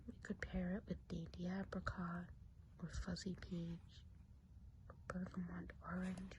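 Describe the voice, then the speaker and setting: A woman whispering softly and breathily in a chatty, confiding ASMR roleplay as a gossipy witch of the woods. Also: fairly low pitch, monotone, a little hiss or hum. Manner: confiding, unhurried, casual gossip. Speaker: ASMR performer.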